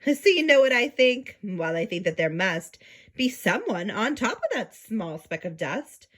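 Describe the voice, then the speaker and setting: A gentle, protective elephant from a children's storybook, voiced by a woman reader. He is earnest and full of wonder. His rhyming lines are emphatic, and the pitch leaps up and down. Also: sing-song, measured pace. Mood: wondering, resolved.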